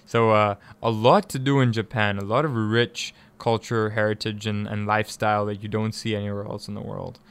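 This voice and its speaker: A man from East Africa hosts a radio interview in a clear, smooth voice. He is conversational and effusive, doubling up words and phrases for emphasis. The sound is dry and close, as in a studio. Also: fairly low pitch, little pitch movement, fairly quick, few pauses, no room sound. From East Africa (Tanzania and Kenya). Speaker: radio show host and interviewer.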